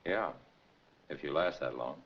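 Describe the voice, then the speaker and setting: An actor playing a rival trucking operator, falsely friendly and pushy with veiled menace, in 1940s film noir style. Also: male voice, very deep voice, unhurried, husky, heavily acted. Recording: muffled, noisy 1940s film soundtrack.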